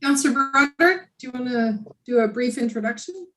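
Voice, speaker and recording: A woman chairing a committee meeting over a video call, friendly and halting, with many fillers and restarts. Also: high-pitched, lively intonation, a bit bright. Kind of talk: casual meeting chat.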